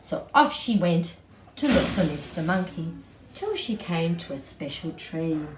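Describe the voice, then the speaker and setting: A middle-aged woman, an early childhood educator, telling a story to young children in an animated storytelling style. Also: lowish voice, unhurried. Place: small indoor playroom with bookshelves, seated on floor. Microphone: camera several feet away. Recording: distant mic, roomy echo, background noise.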